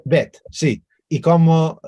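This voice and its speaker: A male host asks questions over a video call in a slow, mellow voice. He halts and repeats words while searching for how to phrase things. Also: a little high, highs cut off. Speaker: interview host. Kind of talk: conversational interview questions.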